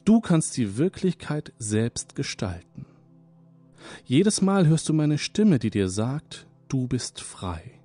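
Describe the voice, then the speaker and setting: A man guiding listeners through hypnosis at an unhurried, drawn-out pace, his voice calm and sitting at an ordinary pitch. He repeats phrases gently to lull the listener, while soft music plays faintly underneath. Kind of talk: guided radio hypnosis session. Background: soft background music.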